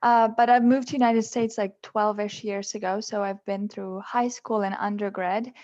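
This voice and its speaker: A young woman originally from Ukraine, a veterinary student, telling her story lively and with frequent laughter on a video call. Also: mid-range voice, normal speed. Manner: lively, laughs often, slight restarts. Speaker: fourth-year veterinary student. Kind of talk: casual panel talk on a video call. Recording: muffled video-call audio.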